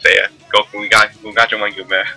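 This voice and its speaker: A man's voice: fairly low pitch, level tone, fast, sharp tone. A man with a Hong Kong accent bantering casually on a live stream, dropping English words into his talk. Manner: mixes English words into speech. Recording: music behind the voice.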